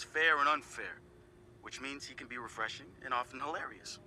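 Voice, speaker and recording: A man's voice: medium pitch, bright, forceful tone, slight background noise, rough recording. An actor playing a laid-back young male hacker who sizes up his teammates in a game audio log, talking casually with profane, wry asides. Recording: clear music behind the voice.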